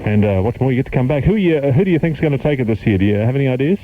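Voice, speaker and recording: A man's voice: mid-range voice, lively intonation, very fast, noisy background. An Australian man presenting pop radio as a chatty DJ. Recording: phone-like lo-fi 1970s radio aircheck. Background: music.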